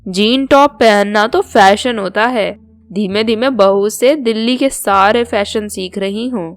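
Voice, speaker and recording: A woman narrator acts out an older mother-in-law from a small town in a storybook tale. She is chatty and proud, insisting on being modern. Soft music plays behind her. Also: mid-range voice, unhurried, dry recording, lightly acted. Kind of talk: Hindi storybook cartoon dialogue.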